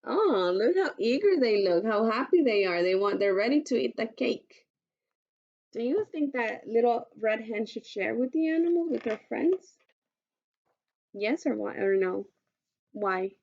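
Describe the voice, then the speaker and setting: A woman teacher reading aloud to children in an instructive way, pausing to pose questions, on a dull recording. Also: on the high side, expressive, creaky, a little mellow. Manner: instructive, poses questions to listeners.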